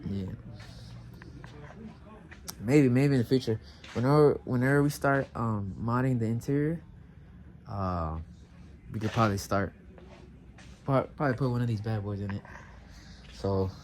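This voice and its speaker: A man's voice: medium pitch, a little slow, long pauses, a little mellow. A man chatting casually for a vlog in laid-back, slangy words, with plenty of "you know". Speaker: trucker vlogger. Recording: noisy recording around truck and radio.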